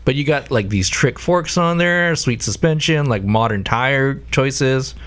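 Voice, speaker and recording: Laid-back man with lively intonation. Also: mid-range voice, unhurried, smooth. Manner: laid-back, agreeable, swears casually. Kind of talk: casual chat.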